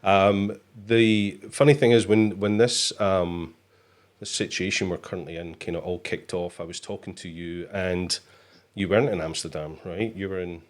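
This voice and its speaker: A man's voice: low-pitched, steady tone, moderate pace. A Scottish man hosting a casual live show, chatty and joking, with plenty of fillers. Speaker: host. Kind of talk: casual livestream chat.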